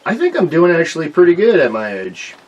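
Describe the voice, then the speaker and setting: A man in his late thirties co-hosting a podcast, swearing freely and firing back quick comebacks in crude banter. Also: fairly high pitch, huge pitch swings, darkish tone, dull audio. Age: 30s. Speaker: podcast co-host.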